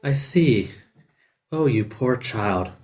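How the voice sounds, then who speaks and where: Man playing a gentle, solemn wise man over music. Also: medium pitch, expressive, slow. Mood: compassionate, knowing. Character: gentle, wise old man of legend, melancholy counselor. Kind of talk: fantasy audio drama acting. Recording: music behind the voice.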